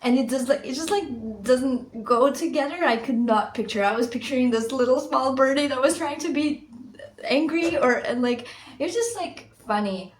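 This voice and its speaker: A woman's voice: sits high, moderate pace, reverberant, slight background noise. A woman whose first language is Russian, reviewing books in a casual, rambling vlog with lots of fillers. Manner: chatty, rambling, many fillers. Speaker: book vlogger.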